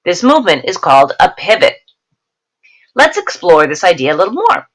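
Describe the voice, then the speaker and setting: A woman reads a lesson aloud as a teacher would, in a slightly low voice with a very varied melody. She leans deliberately on key terms and steps out of the text for short instructive explanations. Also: very animated. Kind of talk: read-aloud science lesson with explanatory asides.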